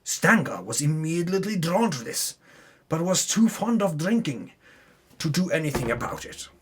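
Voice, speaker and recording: A man's voice: on the high side, sing-song, moderate pace, husky. A man narrating a tabletop campaign recap as a dramatic storyteller, with theatrical delivery and casual asides.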